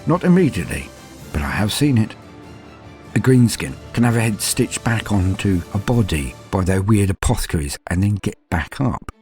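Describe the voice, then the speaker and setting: A proud, battle-hardened space marine veteran, read by a man speaks in a very deep, low voice, measured and matter-of-fact. Faint music plays underneath. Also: very animated, a little mellow. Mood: grim. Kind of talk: first-person fiction reading.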